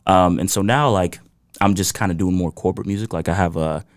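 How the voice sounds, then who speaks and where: A man's voice: deep voice, brisk, dry recording, studio quality. A man from Ghana, a musician guest on a podcast, telling stories in an easygoing way with frequent laughs and "you know.". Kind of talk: casual interview storytelling.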